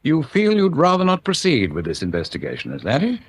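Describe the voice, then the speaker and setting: A senior English police chief in a radio drama, stuffy and formal. His voice has a husky edge and rises and falls freely as he talks in pompous, roundabout phrases. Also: on the high side, wildly swooping pitch, brisk, full character voice. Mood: stiffly resigned. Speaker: actor playing a senior police officer.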